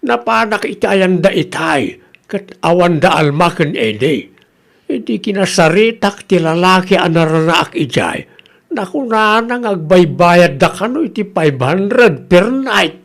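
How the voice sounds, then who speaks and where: A man acts out an Ilocano family man in a comedy radio drama. His pitch sits high for a man and swings widely, with creak running through his exclamatory delivery. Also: very animated, measured pace, heavily acted. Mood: gossipy, indignant. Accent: Ilocano Filipino.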